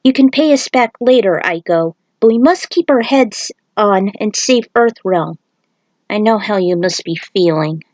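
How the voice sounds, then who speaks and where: A woman voicing an earnest male shaman warrior, brisk and reassuring, in a storybook reading. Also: mid-range voice, expressive, darkish tone, no room sound, lo-fi sound, lightly acted.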